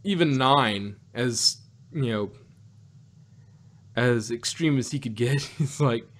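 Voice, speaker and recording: A man's voice: medium pitch, takes it slowly, a bit bright. A man co-hosting an audio drama review podcast, talking in a rambling way with many restarts and fillers. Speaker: podcast co-host. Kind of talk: casual chat.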